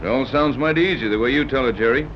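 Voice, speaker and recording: An actor playing a wry, skeptical sea captain in a 1930s radio serial, from a dull old recording. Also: male voice, mid-range voice, slight background noise, natural voice. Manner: dry, skeptical, brisk.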